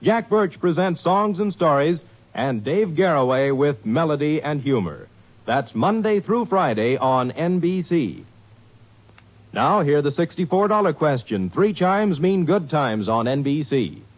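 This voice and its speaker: A man's voice: fairly high pitch, sing-song, unhurried. A man reading an upbeat, polished 1950s network promo. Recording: dull old radio transcription.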